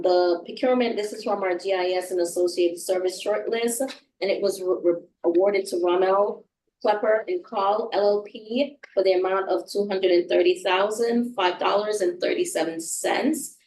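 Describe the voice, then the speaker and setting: A woman's voice: mid-range voice, fairly even intonation, normal speed, a little mellow, a little hiss or hum. A woman with a Jamaican accent presenting contracts at a board meeting, hesitant and full of fillers as she reads out figures. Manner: hesitant, many fillers and restarts while reading out figures. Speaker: staff member presenting contracts. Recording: roomy, far from the mic.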